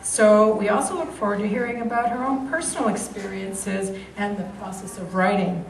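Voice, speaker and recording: A woman school principal giving a formal welcome speech in a school library, pausing often with fillers. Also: medium pitch, fairly even intonation, a little hiss or hum. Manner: hesitant, with frequent fillers. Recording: distant, roomy school library.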